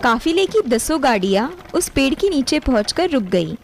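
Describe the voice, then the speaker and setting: A woman's voice: high voice, sing-song, normal speed. A woman narrating a scripted moral story as a storyteller. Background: music behind the voice.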